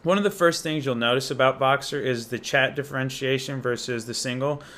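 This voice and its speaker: A man's voice: mid-range voice, brisk, fairly bright tone. A young American man giving a casual, unscripted app walkthrough in an informal, slangy, enthusiastic manner. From Indiana, United States. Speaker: app reviewer and demonstrator.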